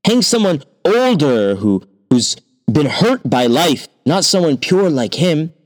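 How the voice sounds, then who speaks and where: A man's voice: fairly high pitch, sing-song, slow, voice pushed up. Brief: storybook holy man, earnest and firm, voiced by a male storyteller. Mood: protective. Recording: long hall reverb.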